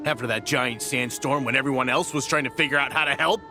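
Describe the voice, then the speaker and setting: A man's voice: mid-range voice, fast talker, sharp tone. An actor playing a resentful, hot-headed desert tribesman in a video game, confrontational and accusing. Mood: angry, accusing. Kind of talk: scripted video game acting. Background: music.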